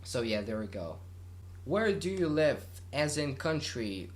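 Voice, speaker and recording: A man's voice: mid-range voice, sing-song, measured pace. Rambling Bulgarian guy answering questions. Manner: rambling, many fillers and restarts. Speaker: YouTube gaming channel host. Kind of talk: casual Q&A monologue.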